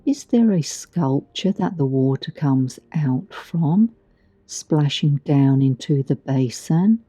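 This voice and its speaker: Very mellow, slow-speaking woman guiding meditation. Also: deep voice, lively intonation, no echo. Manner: soothing, gentle, deliberate delivery with long pauses. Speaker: meditation guide. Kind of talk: guided meditation narration. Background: background music.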